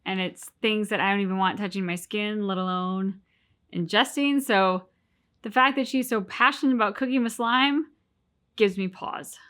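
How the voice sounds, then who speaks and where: A woman's voice: mid-range voice, steady tone, normal speed, fairly bright tone. A woman co-hosting a reaction show with casual, wryly joking commentary.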